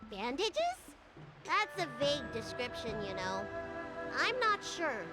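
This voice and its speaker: A high-pitched, lively little girl healer. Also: takes it slowly, full character voice, low-quality recording. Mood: thoughtful. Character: young girl healer, earnest, chatty and professional. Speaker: doctor. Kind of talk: English video game voice acting. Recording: game music behind the voice.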